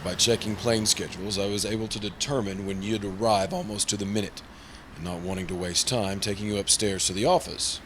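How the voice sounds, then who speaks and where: A man's voice: fairly low pitch, steady tone, brisk, background noise, natural voice. A man playing a prim, earnest, easily flustered insurance broker in old-time radio drama style. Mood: fussy, impatient. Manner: prim, formal, exasperated. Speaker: supporting actor in a radio play.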